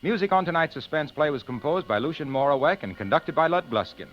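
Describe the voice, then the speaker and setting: A man's voice: on the high side, expressive, fast. An American man working as an old-time radio announcer, delivering scripted sales copy with emphatic, folksy patter. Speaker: radio announcer and commercial pitchman. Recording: dull, lo-fi old radio recording.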